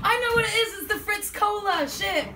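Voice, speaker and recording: A woman's voice: very high-pitched, a little fast. A Welsh woman whose first language is Welsh, chatting with the audience between songs as a singer-songwriter, giggling and rambling with lots of fillers. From Wales. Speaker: singer-songwriter performing live. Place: live music venue. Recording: echoey room from far off, loud guitar.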